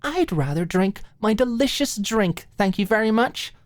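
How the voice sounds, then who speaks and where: A woman's voice: medium pitch, expressive, moderate pace, no room sound, voice pushed up, natural voice. A woman voicing a giddy, playful young woman in an audiobook, tipsy and teasing, with a squealing, pouty manner. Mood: playful outrage. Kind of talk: audiobook character acting.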